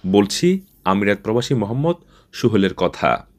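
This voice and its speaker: A man with a slightly low voice narrates a news feature. He reads from a script as a reporter, in formal broadcast wording. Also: big pitch swings, normal speed.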